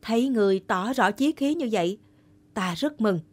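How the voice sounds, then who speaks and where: A woman voicing a stately older male general in an animated folktale, speaking formally with measured authority. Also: on the high side. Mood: grave, approving.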